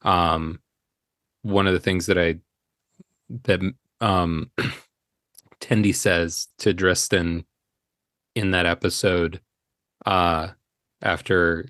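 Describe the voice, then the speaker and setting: Male podcast guest, deep register, reflective and conversational, recorded dry. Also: steady tone, extremely slow, long pauses, fairly bright tone, dry recording. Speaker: podcast guest, indie audio drama creator. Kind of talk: reflective casual interview talk.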